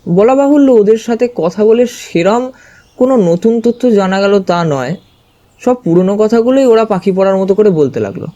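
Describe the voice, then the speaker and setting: A man's voice: high-pitched, expressive, moderate pace, mellow, lo-fi sound. A man narrating a story dramatically in the first person.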